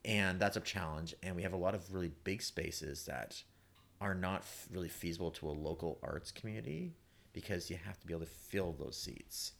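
A man speaking as a guest in a casual panel discussion, halting and repeating words, with emphatic turns. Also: sits low, gravelly, a bit bright.

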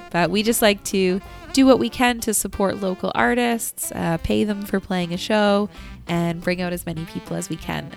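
A woman co-hosting a local radio show, chatting casually and enthusiastically through event shout-outs. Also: fairly low pitch, vocal fry. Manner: upbeat, enthusiastic.

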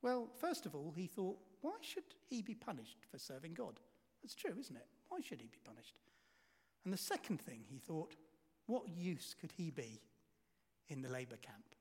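A man preaching a sermon, telling a story and asking the congregation rhetorical questions, his volume swinging from loud to hushed. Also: a little high, sing-song, normal speed, slightly rough. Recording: reverberant hall.

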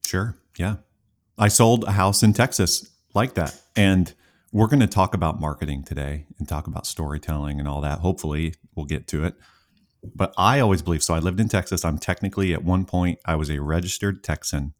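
A man's voice: low voice, brisk. A man hosting a podcast interview, talking casually in long rambling asides before getting to his questions. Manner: rambling, talkative, self-aware. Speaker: podcast host.

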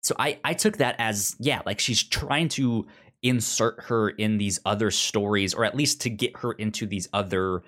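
A man co-hosting a casual review discussion, talking haltingly and often repeating words as he works through his thoughts. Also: fairly low pitch, fairly bright tone. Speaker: podcast co-host.

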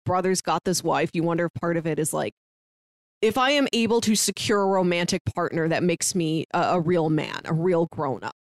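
A woman's voice: lowish voice, a little fast, no echo. Woman in her thirties, dry close sound. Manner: conversational, retells scenes with quoted dialogue. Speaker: podcast co-host. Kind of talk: casual film discussion.

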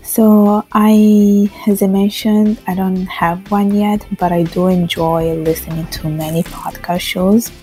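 A woman's voice: medium pitch, flat delivery, slow pace, few pauses, dark tone, soft background music. A woman from Italy answering interview questions as a podcast guest in a conversational way. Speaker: podcast guest working in finance.

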